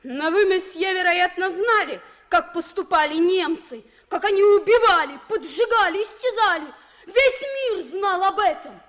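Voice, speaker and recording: A woman's voice: very high-pitched, moderate pace, fairly bright tone. An actress playing an earnest, polite and patriotic young French boy in a 1950s radio drama. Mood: accusing.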